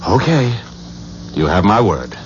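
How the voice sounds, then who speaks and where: Skeptical exasperated buddy in a 70s radio play, voice swings a lot, bit of hiss. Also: male voice, sits low, big pitch swings, a little slow. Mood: skeptical, reluctant. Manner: incredulous, emphatic. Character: skeptical, exasperated friend trying to talk sense. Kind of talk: 1970s radio drama acting. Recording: dull, noisy 1970s radio recording.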